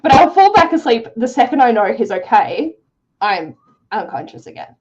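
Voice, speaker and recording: A woman co-hosting a livestream, chatting casually in an emphatic, expressive way. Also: a little high, normal speed, muffled recording. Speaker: co-host of a live reading sprint stream.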